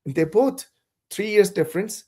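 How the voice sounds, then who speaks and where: A man's voice: sits high, expressive, moderate pace, mellow, slightly rough. A man from south India whose first language is Malayalam, talking as a podcast guest in a thoughtful, hesitant way with many restarts. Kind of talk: casual conversation.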